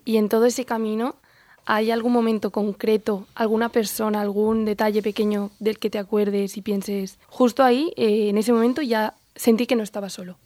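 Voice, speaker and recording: A woman co-hosts a panel, asking her guests questions gently and attentively. Her voice stays flat and even, heard close and dry. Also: medium pitch, moderate pace, no echo. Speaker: host. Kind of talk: interviewing on a panel.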